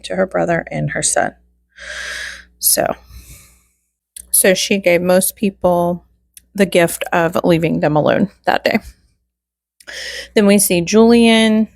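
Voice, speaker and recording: A woman's voice: on the low side, gravelly, no room sound, studio quality. A woman hosting true crime commentary, reading through a log informally and item by item, with wry asides.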